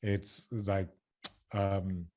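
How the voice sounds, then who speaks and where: An American man from Louisiana talks as an interview guest, his voice sitting fairly low. He speaks haltingly, leaning on fillers and repeating words before he lands a point. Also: flat delivery, mellow. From Louisiana, USA. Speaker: character coach, guest. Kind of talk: casual interview chat.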